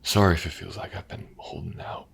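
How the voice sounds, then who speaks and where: A man playing a weary, grieving man in an intimate audio drama, speaking in a hushed, halting, confiding way with a gravelly, husky voice. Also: slight background noise, lightly acted. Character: weary, grieving man haunted by his murdered loved one. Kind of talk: intimate audio roleplay drama addressed to the listener.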